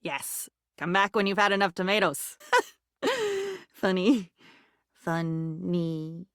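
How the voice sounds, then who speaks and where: A woman's voice: fairly low pitch, very animated, slow pace, fairly bright tone, no echo, clean studio sound, heavily acted. An actress voicing a volatile, lonely, dreamy woman in a video game, rambling theatrically from one non sequitur to the next. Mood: scattered, cryptic. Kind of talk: video game character voice acting.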